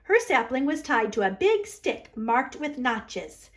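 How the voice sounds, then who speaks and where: A woman's voice: high-pitched, very animated, measured pace. A woman library storyteller narrating a children's storybook aloud.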